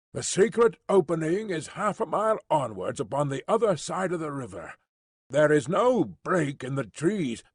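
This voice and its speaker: A man voicing a pompous, self-assured male professor who talks down to others, in a husky voice. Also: fairly high pitch, no room sound, clean studio sound, full character voice. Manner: lofty, condescending.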